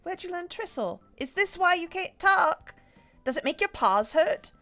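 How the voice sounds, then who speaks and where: A woman's voice: very high-pitched, big pitch swings, unhurried, sharp tone, faint music behind, voice pushed up, exaggerated voice. A woman voicing a chatty, nosy young animal child in a comic read-along, with blunt, eager questioning. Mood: excited curious.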